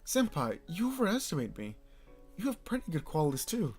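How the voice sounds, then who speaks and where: A man voicing an upbeat, admiring young man who talks eagerly and flatters his senior. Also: a little high, a little fast, breathy edge, soft background music, pitched above the performer's own voice, full character voice.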